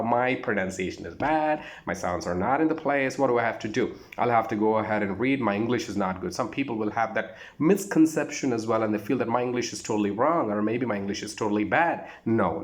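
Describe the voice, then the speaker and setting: A man with an Indian accent giving an informal spoken lesson as a voice trainer, instructive and repetitive, with emphatic phrasing. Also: fairly low pitch.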